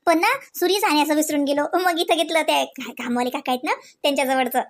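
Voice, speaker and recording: A woman's voice: high voice, steady tone, fast. A woman voicing a playful, bossy young village wife in a comedy cartoon, coaxing and giggly, with a rural Marathi accent.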